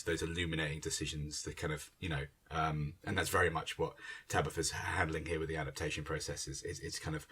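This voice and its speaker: Man, very low pitch, reflective, hesitant phrasing, slightly distant from the mic. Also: pushed and bright, husky. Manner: hesitant, circling, thoughtful phrasing. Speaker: theatre director. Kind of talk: reflective discussion of creative work.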